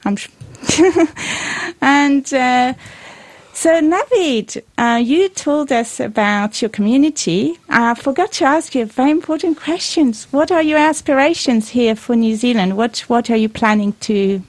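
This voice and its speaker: A woman radio host with a slightly high voice talks to her guests in a chatty, encouraging way. The sound is dry and close, with no room around it. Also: expressive, measured pace, no room sound. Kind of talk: community radio interview. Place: radio studio.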